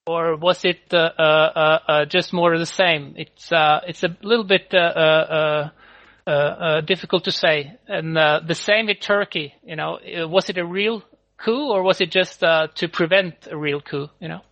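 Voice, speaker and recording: Halting man with a French accent. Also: on the high side, steady tone, fairly bright tone. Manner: halting, many fillers and restarts. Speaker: podcast guest. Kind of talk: casual online chat. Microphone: video call. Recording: lo-fi call audio.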